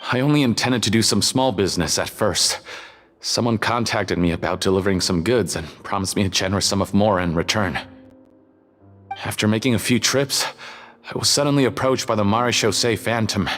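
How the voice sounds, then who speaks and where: A man's voice: on the low side, brisk, natural voice. An actor voicing a nervous, bitter male smuggler in a video game who stammers and talks defensively. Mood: resentful. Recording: game audio with soft music behind.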